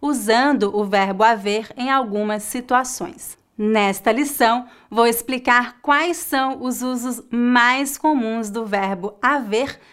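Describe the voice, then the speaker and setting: A woman with a Brazilian accent teaching a Portuguese lesson in a slow, clear, scripted way. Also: on the high side, fairly bright tone. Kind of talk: scripted online language lesson.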